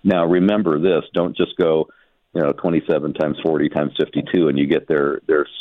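Very deep-voiced man on a phone-like line. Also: flat delivery, dry recording. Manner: explanatory, measured, hesitant phrasing. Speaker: newspaper editor, radio guest. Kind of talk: conversational news discussion. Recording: muffled narrow phone-like line.

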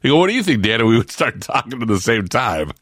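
A man with a deep voice co-hosting a radio segment, easygoing and jokey, laughing often. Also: sing-song, fast talker, a bit bright, no room sound. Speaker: radio co-host. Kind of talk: casual radio banter. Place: radio studio. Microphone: studio mic.

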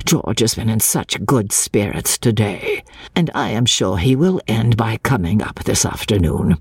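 A kindly old English gentleman, played by a woman audiobook reader. He is sociable and courteous, speaking in a low, mellow voice. His manner is that of a gentle, fond father. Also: expressive, measured pace, slightly rough, no room sound, studio quality, exaggerated voice. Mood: fond, coaxing.

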